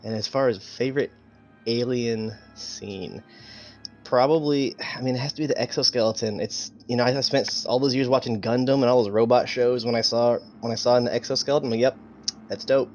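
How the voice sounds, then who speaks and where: A man playing a tabletop game, chatting casually in a halting, laid-back manner. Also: medium pitch, steady tone, a little mellow, soft background music. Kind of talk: casual chat.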